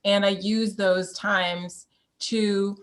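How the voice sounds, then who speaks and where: A woman's voice: medium pitch, little pitch movement, extremely slow. A woman, speaking as a podcast guest in a candid, reflective conversation and laughing easily. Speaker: podcast guest, Broadway performer. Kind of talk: casual conversation.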